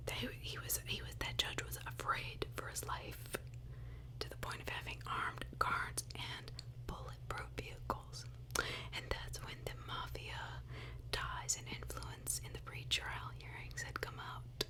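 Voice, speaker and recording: Woman whispering slowly in ASMR. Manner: emphatic, repeats words and phrases for effect, adds personal asides. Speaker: ASMR true crime host. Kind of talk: whispered ASMR true-crime narration.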